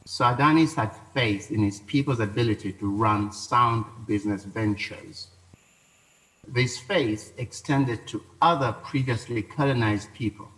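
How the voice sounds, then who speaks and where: A man delivering a formal scripted eulogy at a funeral in a measured, solemn, rhetorical way. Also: on the low side, fairly bright tone, poor audio.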